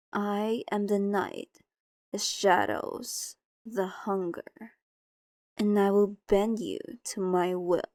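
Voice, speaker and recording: A woman's voice: medium pitch, a little mellow, no echo, studio quality. A woman playing an ancient, possessive female vampire in an intimate ASMR roleplay, condescending, coaxing and theatrical. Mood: seductive menace.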